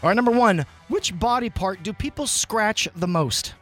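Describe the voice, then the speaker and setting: A male radio host with a high, bouncy voice reads quiz questions with lots of energy. Music plays faintly behind him. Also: moderate pace. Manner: energetic, game-show quizzing. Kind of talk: upbeat radio banter.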